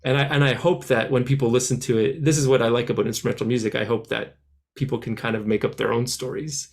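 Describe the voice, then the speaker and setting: Rambling musician answering at length. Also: male voice, mid-range voice, fairly even intonation, fast talker, creaky. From France, raised in Canada. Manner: rambling, many restarts and "like" fillers. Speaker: musician guest. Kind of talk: casual interview answers.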